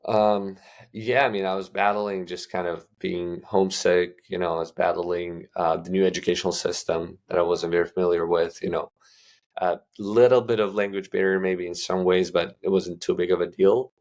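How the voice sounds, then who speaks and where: Young male guest, from Uzbekistan, deep and gravelly, relaxed rambling answers. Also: normal speed. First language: Russian. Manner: loose, filler-heavy, many restarts. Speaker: guest entrepreneur. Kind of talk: casual interview answers.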